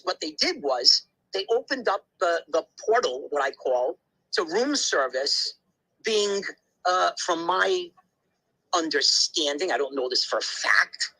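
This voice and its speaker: A man's voice: high voice, sharp tone, husky, rough recording. A man talking in a casual podcast conversation, telling things bluntly and emphatically, with many asides and restarts. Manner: blunt, occasional swearing.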